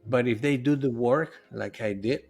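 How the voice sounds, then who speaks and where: A man's voice: medium pitch, normal speed, creaky, darkish tone, breathy edge, soft background music. A middle-aged man whose first language is Spanish, talking earnestly and loosely as a guest in a podcast interview. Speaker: podcast guest, nutritionist and health coach. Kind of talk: conversational interview.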